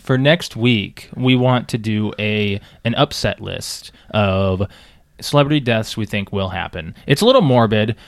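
A man's voice: lowish voice, a little slow, dry recording, clean studio sound. A man co-hosting a podcast, chatting casually and rambling through stories. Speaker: podcast co-host. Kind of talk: casual chat.

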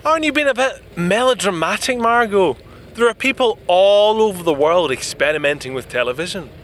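An actor playing an indignant young 1930s gentleman inventor in an audio drama, with a British accent and a proper, clipped manner. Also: sits high, expressive, a little fast, slight background noise. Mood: incredulous. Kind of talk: full-cast audio drama acting.

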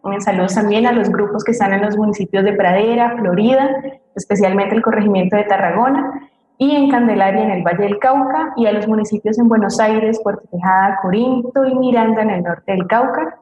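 A woman speaks as co-host of a live broadcast, announcing greetings in a mid-pitched voice. The sound is roomy, with clear echo. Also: a little fast, a little mellow, dull audio. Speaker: co-host reading audience greetings.

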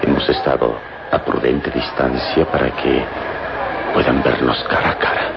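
An actor playing a calm, confident adventurer hero in a vintage radio serial, measured and reassuring. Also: male voice, very deep voice, vocal fry, loud music behind the voice, full character voice. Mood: composed. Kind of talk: vintage Latin American radio serial acting. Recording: dull, very noisy old radio recording.

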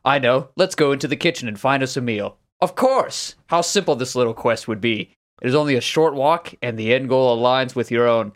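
A man's voice: mid-range voice, fairly quick, few pauses. A man co-hosting a podcast, chatting casually and then narrating a story in a grandiose, dramatic manner. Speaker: podcast host.

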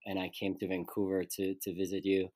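Low-voiced Swiss-born man, dry sound. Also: completely flat delivery, brisk, a little mellow, no echo. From Switzerland. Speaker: guest actor. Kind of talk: casual conversational interview.

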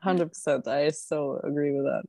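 A woman from southern Sweden, a podcast guest talking casually from home over an online call, thoughtful and unhurried, often pausing to think. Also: deep voice, mellow, dry recording, studio quality. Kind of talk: casual conversational interview. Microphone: remote online call.

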